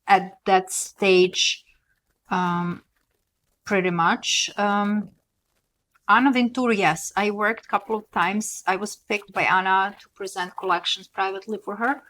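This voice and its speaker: A woman's voice: mid-range voice, steady tone, takes it slowly, a bit bright. Woman from serbia chatting on a podcast, candid and rambly, lots of ums. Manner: candid, chatty, many restarts and fillers. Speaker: podcast co-host, former model. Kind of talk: casual chat.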